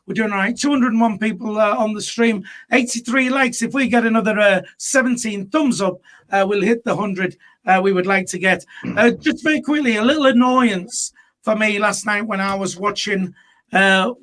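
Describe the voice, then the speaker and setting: A man's voice: high-pitched, normal speed, a bit bright. A man hosting a live football fan show, blunt and full of fillers as he moves between panelists. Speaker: host.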